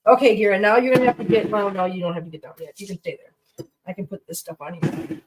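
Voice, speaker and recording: A woman's voice: medium pitch, fairly even intonation, fast talker, distant mic, a little hiss or hum, poor audio. A woman hosting a livestream from her home kitchen, chatting casually while cooking. She sounds congested and rambles, talking to her pets and viewers.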